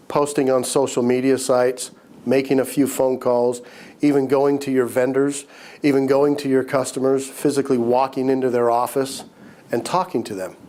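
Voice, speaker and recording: A male instructor, lecturing entrepreneurs in a relaxed, conversational way. His voice sits at an ordinary middle pitch and sounds a little raspy from a lingering cold. He clears his throat now and then and circles back to repeat his main points. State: recovering from a cold, slightly raspy. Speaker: business course instructor.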